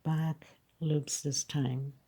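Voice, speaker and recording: Slow, husky, congested woman teaching crochet. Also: low-pitched, level tone, dark tone. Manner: slow, patient counting of stitches. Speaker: crochet instructor. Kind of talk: step-by-step craft tutorial.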